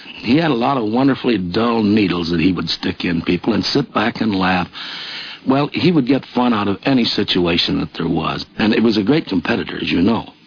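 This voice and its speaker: A man's voice: lowish voice, animated, fairly quick, creaky, a little hiss or hum. A man reminiscing casually as an interviewee. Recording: muffled vintage radio recording.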